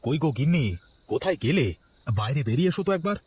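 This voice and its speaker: A man's voice: a little high, lively intonation, fast, darkish tone, a little hiss or hum, close to an everyday voice. A man voicing a kindly, trusting village grocer and husband in a cartoon. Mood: affectionate. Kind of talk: cartoon voice acting.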